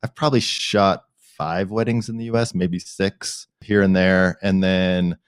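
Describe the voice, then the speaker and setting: A man from the United States talks as a podcast guest with a low voice. He tells his stories in a relaxed, self-deprecating way and laughs often. The sound is dry and close to the mic. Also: a little slow, smooth, no echo. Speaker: podcast guest, software founder. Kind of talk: casual interview storytelling.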